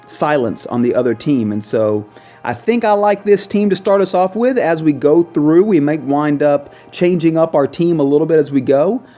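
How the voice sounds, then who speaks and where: A man hosting a gaming video with casual, chatty commentary, thinking aloud with trailing pauses. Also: on the high side, mellow, muffled recording, soft background music.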